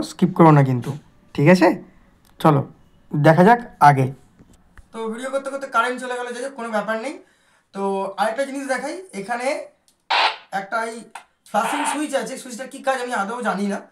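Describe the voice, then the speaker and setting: A woman's voice: a little high, quick pace, long pauses, darkish tone. A woman giving a casual, chatty unboxing commentary, speaking straight to viewers with English words mixed in. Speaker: vlogger presenting a product. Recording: echoey room sound.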